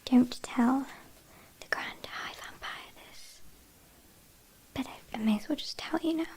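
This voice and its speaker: Woman acting as British vampire kidnapper, female, breathy whispering, unhurried pace, chatty, teasing, haughty, ASMR roleplay. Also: high voice, darkish tone. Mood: confiding. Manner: teasing, mock-apologetic, unhurried.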